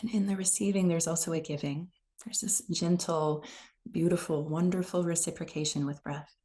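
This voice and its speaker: A woman leading a guided meditation in a gentle, unhurried, soothing manner. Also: lowish voice, fairly even intonation. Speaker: meditation teacher.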